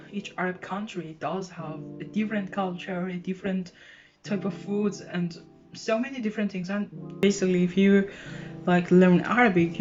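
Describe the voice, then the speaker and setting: A teenage girl whose first language is Persian, chatting casually to camera about languages, with lots of fillers and restarts. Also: on the low side. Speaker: language vlogger. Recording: dull lo-fi sound with soft guitar music.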